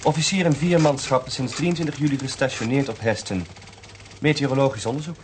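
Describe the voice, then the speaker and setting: A man's voice: mid-range voice, fairly quick, natural voice. A man acting in a radio drama as a dutiful, deferential computer expert who speaks formally, in clipped and obliging phrases. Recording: noisy radio play recording.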